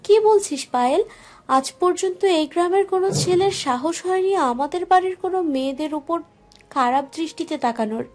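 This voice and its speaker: A woman's voice: very high voice, lively intonation, a little fast. A woman playing an outspoken, protective elder-sister figure with an emphatic manner in an audio drama. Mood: indignant, shocked. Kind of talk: audio-story dialogue acting.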